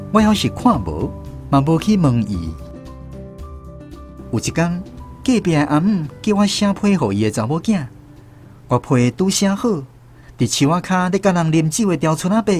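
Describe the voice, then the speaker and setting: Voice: mid-range voice, big pitch swings, moderate pace, a little mellow, close to an everyday voice. An actor with a Taiwanese accent narrating a radio drama in the first person, as a grown-up recalling a village childhood. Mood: reflective storytelling. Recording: music under the voice.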